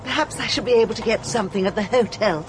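A woman's voice: fairly high pitch, fast, music in the background, natural voice, rough recording. An actress playing a wispy, anxious little lady in a radio drama, fluttery and hesitant. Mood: anxious, flustered.

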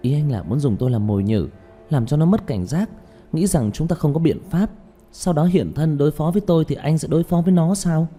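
A man's voice: on the high side, animated, a little fast, warm tone, slightly rough, soft background music, voice pushed up. A man voicing a polite, hesitant young female singer in an audiobook story, with an earnest manner. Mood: thoughtful, doubtful. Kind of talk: audiobook character dialogue.

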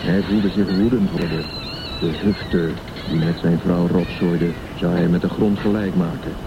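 A man's voice: low voice, fairly even intonation, moderate pace. A man reading scripted narration and credits for a radio drama, as narrator and announcer. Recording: dull sound with loud background noise.

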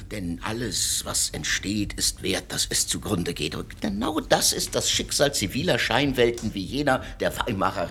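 A cold legal theorist, lectures with measured, doctrinaire certainty. His voice is gravelly and moves through a wide melodic range. A faint noise floor runs underneath. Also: lowish voice, a bit bright, full character voice. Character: cold, lecturing man, a professor of state law. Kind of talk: radio drama.

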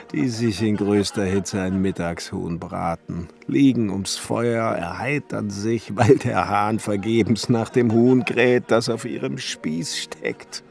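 Radio drama: solemn visionary glassmaker, slow and declamatory, gravelly edge, slipping into hushed musing. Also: male voice, lowish voice. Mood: feverish. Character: Johann Kunkel, obsessive visionary glassmaker and alchemist, solemn. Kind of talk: radio play inner monologue, literary German. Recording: studio radio play with music underneath.

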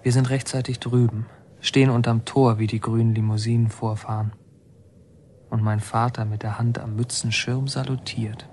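An actor playing a policeman's son who narrates his memories in a measured, observant way for a radio drama. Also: mid-range voice, level tone, a little mellow, slight background noise, natural voice. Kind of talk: scripted radio drama narration, present tense.

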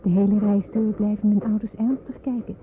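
An actress in a radio drama playing a refugee daughter who narrates her family's journey in measured storytelling. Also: mid-range voice, fairly even intonation, natural voice. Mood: reflective. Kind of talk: scripted first-person narration in radio drama. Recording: tinny radio recording with clear noise.

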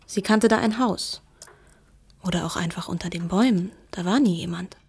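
A woman's voice: on the low side, moderate pace, darkish tone, slightly rough. An actress playing a candid young woman who confides secrets about her friend in intimate literary radio drama dialogue. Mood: confiding, candid.

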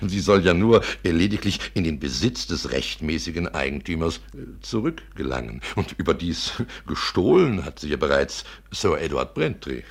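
An actor in a 1950s German radio play playing a wealthy, fussy gentleman collector who talks with courteous formality and flustered repetitions. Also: sits low, very animated, moderate pace, few pauses, husky, exaggerated voice. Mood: courteous, insistent. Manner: flustered, stammering, repeats himself, politely formal.

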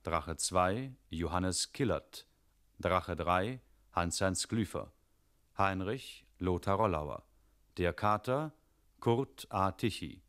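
A male radio announcer with a deep voice reads formally from a script. His delivery is slow, measured and precise. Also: lively intonation, fairly bright tone. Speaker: radio announcer reading credits.

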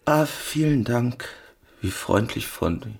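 Radio drama: bereaved husband, fragile yet stubborn, gravelly and cracked, desperate. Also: male voice, mid-range voice, lively intonation, slow, full character voice. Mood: dazed, numb.

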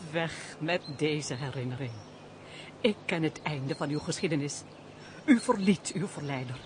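An actress playing a compassionate married lady in a radio drama, expressive and earnest in a theatrical way. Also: low-pitched, measured pace, slight background noise. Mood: consoling, urgent.

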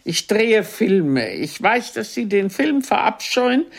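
An aging grande dame of the theatre in a radio play. Her delivery is unhurried and sweeps widely up and down. She is haughty and formal, with a sharp, mocking edge. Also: mid-range voice, very animated, gravelly, heavily acted. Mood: needling, amused. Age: older. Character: imperious aging stage actress, sharp-tongued and mocking. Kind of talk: radio drama dialogue.

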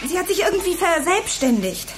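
A woman's voice: very high-pitched, big pitch swings, fairly quick, music in the background. An actress playing an earnest, troubled, insistent teenage schoolgirl in a German radio drama. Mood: earnest, concerned.

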